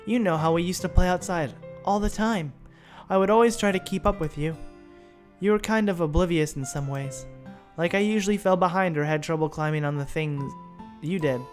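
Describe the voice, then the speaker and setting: A man voicing a sweet, clumsy teenage girl from a visual novel game, speaking softly and earnestly. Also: sits high, fairly quick, faint music behind, pitched above the performer's own voice, close to an everyday voice. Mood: wistful.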